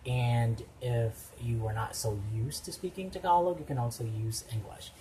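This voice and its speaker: A man, his Ilonggo, explaining things carefully and then breaking into excited exclamations while reacting to a video through a headset mic in a small room. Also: medium pitch, normal speed, a little hiss or hum, low-quality recording. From Philippines. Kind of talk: casual reaction commentary.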